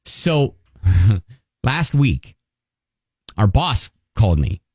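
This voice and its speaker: A man co-hosting a radio show, chatting casually and telling comic personal stories in an animated way, acting out his own thoughts as dialogue. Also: on the low side, extremely slow, no room sound.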